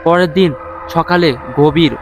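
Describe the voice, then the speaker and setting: Halting male storyteller, higher-pitched voice. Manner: halting, often repeats words. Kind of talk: scripted horror story narration. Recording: music behind the voice. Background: music.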